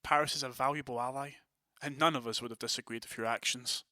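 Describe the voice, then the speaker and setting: A man's voice: mid-range voice, fairly even intonation, fast, sharp tone, no room sound, studio quality. A man playing a commanding, decisive vampire prince in an audio drama, with a formal, authoritative manner.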